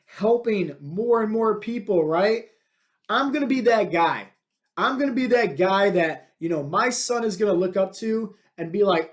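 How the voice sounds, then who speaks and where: A man in his thirties, a fitness coach hosting his own podcast, talking bluntly and with many fillers in a motivational monologue. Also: sits high. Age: 30s. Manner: energetic, filler-heavy, many "like" and "right?".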